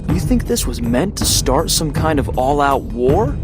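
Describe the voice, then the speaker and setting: An actor playing a young, eager rookie mutant hero in a video game, speaking in a casual, plain way. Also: male voice, fairly high pitch, sing-song, measured pace, music in the background. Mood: casual, wary. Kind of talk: video game cutscene acting.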